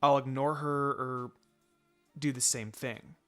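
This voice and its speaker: A man's voice: medium pitch, little pitch movement, slow pace, fairly bright tone, clear, resonant tone, soft background music. A man voicing a brash, moody young boy in a fan fiction story. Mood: offhand. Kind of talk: fan fiction character dialogue.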